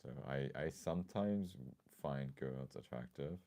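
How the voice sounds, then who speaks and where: Male livestream host, extremely low mellow voice, a bit scratchy, casual and thoughtful. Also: unhurried. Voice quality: slightly scratchy.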